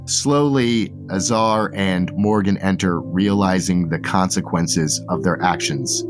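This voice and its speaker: A man's voice: deep voice, takes it slowly, music in the background. A man narrating a tabletop fantasy game as game master, speaking conversationally to his players.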